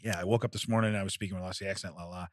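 A man's voice: sits low, steady tone, very fast, dry recording, clean studio sound. A man in his forties co-hosting a comedy podcast, chatting casually and reading out odd news with rambling, jokey asides. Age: 40s.

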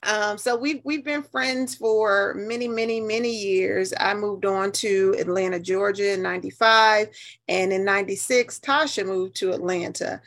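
A woman's voice: medium pitch, steady tone, moderate pace, a bit bright. A woman in her fifties with an American accent, telling stories casually and emphatically as an interview guest. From New Haven, United States. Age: 50s.

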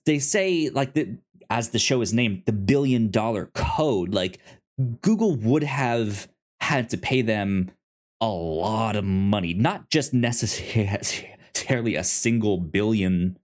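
Halting man chatting, slow delivery. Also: fairly low pitch, lively intonation, a little mellow. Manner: halting, stammering, repeats words. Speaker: podcast host. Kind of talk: casual TV review chat.

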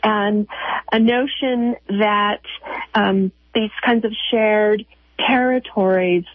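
A woman speaking as a curator in an interview, explaining in a measured, halting way over a phone line. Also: medium pitch, fairly even intonation, no room sound. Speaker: museum chief curator, interview guest. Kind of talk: unscripted explanatory interview answers. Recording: narrow tinny phone line.

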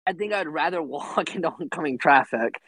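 A man's voice: fairly high pitch, animated, brisk, over a noisy crowd. A man co-hosting a casual podcast chat, rambling and laughing as he talks, stacking up "I mean". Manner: rambling, laughs while talking, repeats "I mean". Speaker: podcast co-host.

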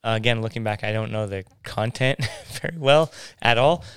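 A man telling his faith story in a casual interview, halting and restarting his sentences often. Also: medium pitch, fairly bright tone, clean tone, dry recording, studio quality. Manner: halting, many restarts and fillers.

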